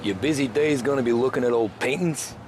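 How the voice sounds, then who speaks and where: An actor playing a weary, dryly polite 1930s cab driver in a game cutscene, speaking in a laconic way. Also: male voice, medium pitch, brisk, background noise, natural voice. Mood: weary, wry. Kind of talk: naturalistic game cutscene acting.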